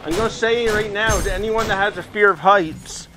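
A man giving casual, excitable commentary as he plays a virtual reality game, reacting aloud as he goes. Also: sits high, normal speed. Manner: excitable, reacting aloud while physically playing. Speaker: video game streamer.

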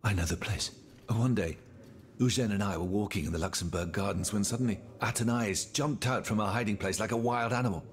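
An actor playing a sober, reflective gentleman in a video game, recounting things in an earnest storytelling manner. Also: on the low side, a little fast, lightly acted. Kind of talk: video game cutscene acting.

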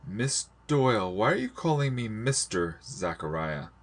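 A man voicing a patient old sailor from a storybook, pleading earnestly in a measured, formal, insistent way. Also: lowish voice, sing-song, pitched above the performer's own voice, full character voice. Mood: grave, probing.

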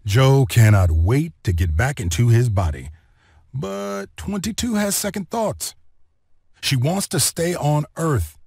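A man narrating a children's read-along story in an expressive, deliberate storytelling manner. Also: medium pitch, no room sound, studio quality. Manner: expressive, deliberate storytelling delivery with clear phrasing.

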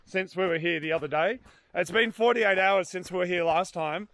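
A man's voice: high voice, normal speed, fairly bright tone. A man in his thirties with an Australian accent, chatting casually to camera as he vlogs outdoors. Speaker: vlogger hosting an outdoor rescue video. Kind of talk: casual vlog chat to camera. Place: outdoors in bushland. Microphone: handheld camera at arm's length.

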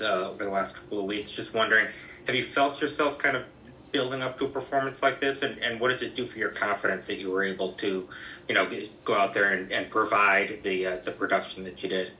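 A man's voice: fairly low pitch, fairly even intonation, a little fast, pushed and bright, a little hiss or hum. A man reporter asking a long, rambling question with fillers at a press conference. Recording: echoey room, voice from far off.

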